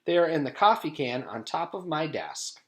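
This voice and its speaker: Man reading as an earnest woman schoolteacher, lively pitch. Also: normal speed. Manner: declarative classroom praise and scolding. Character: earnest woman schoolteacher, firm, approving and easily fooled.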